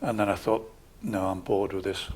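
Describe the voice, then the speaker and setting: A man in his sixties with a Geordie accent, a local councillor calmly answering interview questions in a measured, matter-of-fact way. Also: fairly low pitch, slight background noise. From Scotland, raised in Newcastle area. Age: 60s.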